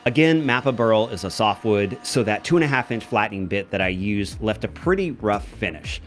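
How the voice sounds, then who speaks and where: A man's voice: on the low side, animated, moderate pace, a little hiss or hum. A middle-aged man narrating his woodworking in a casual, jokey, matter-of-fact way from his workshop. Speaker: woodworking video maker. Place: woodworking shop.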